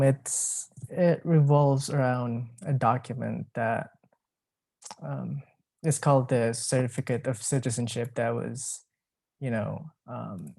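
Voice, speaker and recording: A man reads his own poems at a measured pace. His voice is dark and soft-edged with a gravelly creak, and it stays fairly flat in pitch, captured close and dry. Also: no echo. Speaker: poet. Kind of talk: poetry reading.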